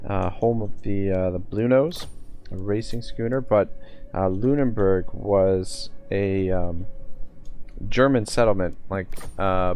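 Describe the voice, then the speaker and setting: A man's voice: lowish voice, a little mellow. A man from Nova Scotia, casually commenting on a live game stream, swearing often and thinking aloud with lots of fillers. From Nova Scotia, Canada.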